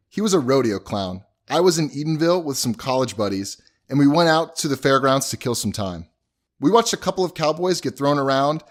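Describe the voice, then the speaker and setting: A man playing an easygoing, cheeky young husband, telling things informally in an audio drama. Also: mid-range voice. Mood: chatty, animated. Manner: informal, chatty storytelling.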